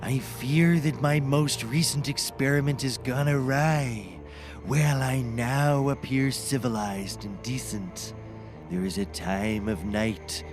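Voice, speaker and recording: A man playing a grave, troubled mad scientist in a scripted comedy, formal and solemn. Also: on the low side. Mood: formal, ominous.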